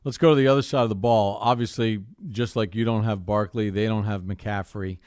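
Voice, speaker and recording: A man's voice: on the low side, steady tone, fairly quick, darkish tone, smooth, dry recording, clean studio sound. A man hosting a sports broadcast interview, putting questions to his guest.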